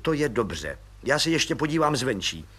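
A man's voice: medium pitch, big pitch swings, fairly bright tone, slightly rough. A man voicing a dry, businesslike lieutenant colonel who gives orders in a curt, matter-of-fact way.

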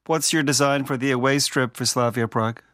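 A man's voice: mid-range voice, fairly even intonation, fairly quick, fairly bright tone. Man asking polite radio interview questions.

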